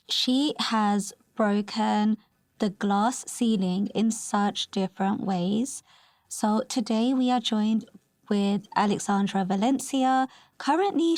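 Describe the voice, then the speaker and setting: A woman's voice: medium pitch, darkish tone, no echo. A woman hosting a podcast interview, talking in an enthusiastic, effusive way. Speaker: podcast host. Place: recording in New York.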